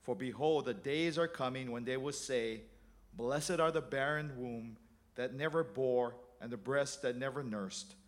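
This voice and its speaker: A man voicing a suffering teacher who speaks in a low, subdued, solemn way. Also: unhurried, lightly acted.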